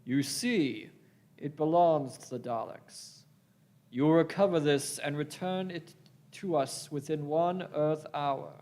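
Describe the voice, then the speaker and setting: A man's voice: on the high side, slow, darkish tone. A man playing a cold alien villain in a radio play, formal, commanding and threatening. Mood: cold menace. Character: cold, menacing alien villain serving the Daleks. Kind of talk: live radio-play acting.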